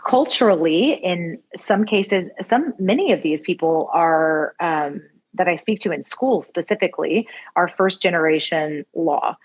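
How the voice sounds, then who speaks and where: A woman's voice: fairly low pitch, animated, unhurried, poor audio. A middle-aged woman, a guest on a podcast, giving style advice in an emphatic, conversational way. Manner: warm, emphatic advice-giving with short affirmations. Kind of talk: casual interview conversation.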